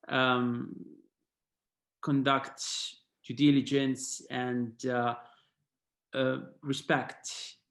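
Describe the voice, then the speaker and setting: A man's voice: mid-range voice, gravelly, a bit bright. A man with an Italian accent, speaking hesitantly with many fillers as a panelist on a video call. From Italy. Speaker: panelist, head of policy at a sports human rights body. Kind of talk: online panel talk with slides.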